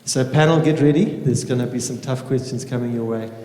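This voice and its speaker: A man's voice: mid-range voice, fairly quick, warm tone. Echoey man addressing a small gathering. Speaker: teacher opening and leading a panel evening in prayer. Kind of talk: informal welcome speech and spoken prayer. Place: indoor room with small audience. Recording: echoey reverberant room.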